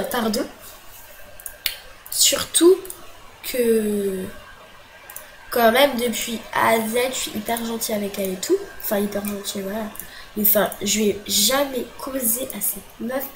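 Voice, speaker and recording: A woman's voice: on the high side, a little hiss or hum, rough recording. Agitated young woman with a cold. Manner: agitated, many fillers and restarts. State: has a cold. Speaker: vlogger. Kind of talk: casual venting to camera.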